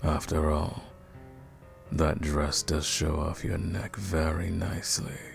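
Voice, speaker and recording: Man playing a very deep, seductive male vampire. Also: steady tone, vocal fry, faint music behind, heavily acted. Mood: seductive, intimate. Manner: formal, slow, seductive, menacing. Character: suave, predatory aristocratic male vampire, teasing and condescending. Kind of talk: ASMR roleplay, intimate scripted monologue.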